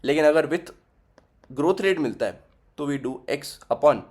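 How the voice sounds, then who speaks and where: A man's voice: fairly high pitch, lively intonation, slow pace, darkish tone. A young man teaching a finance class, working through the numbers aloud and repeating figures as he goes, switching between Hindi and English. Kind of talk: recorded finance lesson.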